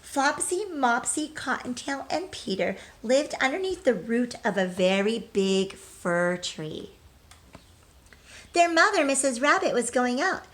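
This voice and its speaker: A woman with a fairly high voice talks as a teacher to young children during a storybook read-aloud. She is expressive and addresses her listeners directly, leaning on important words. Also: a little slow.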